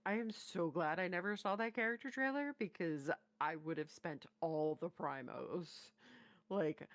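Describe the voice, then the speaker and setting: A woman's voice: lowish voice, measured pace. A woman co-hosting a reaction video in casual, emphatic fan chat. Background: music from the trailers.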